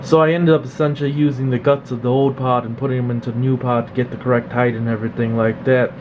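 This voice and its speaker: A man's voice: medium pitch, quick pace. A man, a repair technician, giving a casual, plain-spoken demonstration in an informal explaining way. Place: indoor electronics workshop. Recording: slightly dull, noisy hum of room. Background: steady background noise.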